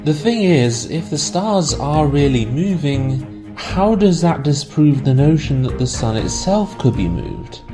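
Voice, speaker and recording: A man's voice: on the high side, expressive, measured pace. Man narrating analytically over background music. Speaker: video essayist, host. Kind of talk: scripted explanatory narration.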